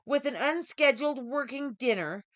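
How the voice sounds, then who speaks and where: Expressive woman narrating, lively pitch. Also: normal speed, no echo. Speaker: author reading own story. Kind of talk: scripted audiobook narration.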